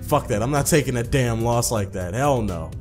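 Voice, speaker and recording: A man's voice: mid-range voice, lively intonation, moderate pace, soft background music. A man doing a casual let's play, swearing and repeating himself in slang as he comments and reads game text aloud. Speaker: gaming video host.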